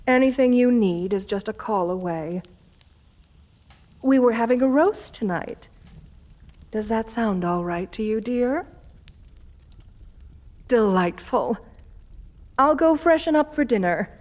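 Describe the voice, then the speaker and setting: Vampire countess, played by a woman for ASMR roleplay: higher-pitched female voice, genteel, seductive, assertive, doting. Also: lively intonation, slow pace, pauses often, darkish tone, dry recording. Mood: gracious, flirtatious. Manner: genteel, doting, uses pet names. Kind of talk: ASMR roleplay addressed to the listener.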